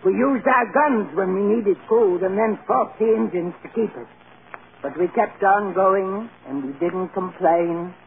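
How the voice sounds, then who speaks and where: A woman's voice: mid-range voice, vocal fry. Feisty old prairie grandmother, tinny radio. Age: older. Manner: emphatic, folksy, scolding. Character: feisty elderly prairie grandmother, tough yet loving. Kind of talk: 1930s-40s American radio acting. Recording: muffled, noisy old radio broadcast.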